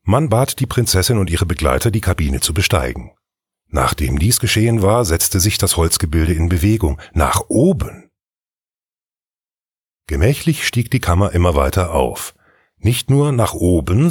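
Male fairy tale narrator, husky and expressive, recorded very dry and close. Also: sits low, no echo. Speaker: storyteller, narrator.

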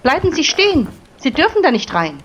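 A formal female receptionist played by a woman in an audio drama, slightly muffled over background noise. Her businesslike, wary delivery moves up and down a lot in pitch. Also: big pitch swings, a little fast. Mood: alarmed. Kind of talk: German audio drama acting. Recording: dull sound, background noise.

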